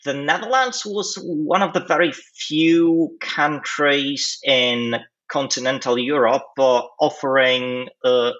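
A man, speaking as an interview guest in a hesitant, measured way with long pauses. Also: medium pitch, a bit bright, highs cut off. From Poland. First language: Polish. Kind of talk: conversational analysis in an interview.

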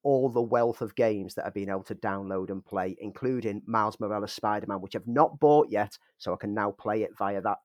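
A man's voice: lowish voice, fairly quick, hardly any pauses, dry recording. A man co-hosting a podcast, rambling in a self-mocking way and chuckling, with a lingering cough. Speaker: podcast co-host. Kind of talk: casual podcast chat.

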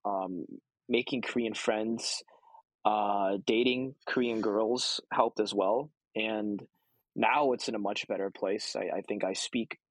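A man's voice: lowish voice, fairly even intonation, slow pace, husky, no echo, low-quality recording. A young man answering interview questions casually, with many ums and restarts. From United States. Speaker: guest.

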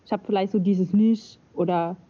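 Woman, relaxed casual talker, dull lo-fi sound. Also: medium pitch, normal speed. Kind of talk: casual chat.

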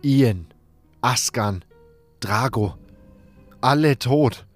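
A man's voice: medium pitch, very slow, faint music behind. A man reading scripted first-person audiobook narration as a dramatic storyteller.

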